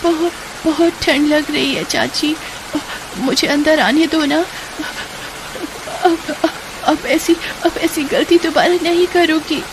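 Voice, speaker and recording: A woman's voice: high voice, steady tone, slow, background noise, pitched above the performer's own voice. A woman voicing a timid eight-year-old orphan girl from a storybook, speaking in a meek, plaintive, pleading way. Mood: shivering, pleading.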